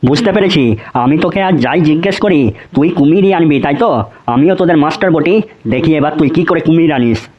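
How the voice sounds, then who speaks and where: An exasperated cartoon village teacher acted by a man, scolding at a quick clip in a fairly high male voice. His comes through a slightly dull, lo-fi recording. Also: animated, crowd noise, natural voice. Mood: sarcastic, challenging. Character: exasperated, scolding village schoolmaster, easily driven to despair. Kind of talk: comedy cartoon voice acting.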